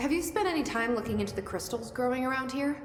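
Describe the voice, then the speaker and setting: An actress playing a determined, guarded video game heroine who speaks in short, deadpan lines. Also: female voice, sits high, fast talker, soft background music. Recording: echoey hall with faint noise.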